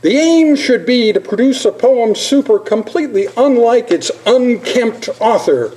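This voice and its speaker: An older man giving an informal presentation as a lecturer, halting at times with repeated words and pauses. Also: extremely high pitch, lively intonation, darkish tone, low-quality recording. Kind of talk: informal slide presentation with poetry recitation.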